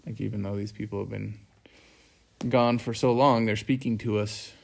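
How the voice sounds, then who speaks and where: A middle-aged man with a low, creaky voice talks through scripture in a casual discussion. He thinks aloud haltingly as he explains. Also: steady tone. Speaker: family scripture reading host.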